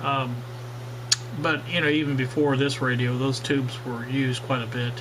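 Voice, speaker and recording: A man's voice: medium pitch, flat delivery, normal speed, background noise. A man hosting a casual workshop vlog on old radios, talking in a rambling way with many fillers and restarts. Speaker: radio hobbyist channel host.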